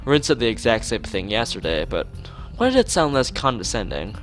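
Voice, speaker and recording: A man's voice: mid-range voice, sing-song, fairly quick, soft background music. A man reading a visual novel aloud in an informal let's play, stumbling over words and restarting phrases, with off-the-cuff asides.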